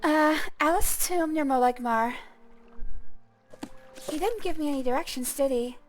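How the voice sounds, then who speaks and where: A woman's voice: sits high, a little slow. A young woman who streams games, chatting casually and thinking aloud in a self-deprecating way as she plays. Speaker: gaming streamer. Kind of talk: casual gameplay commentary.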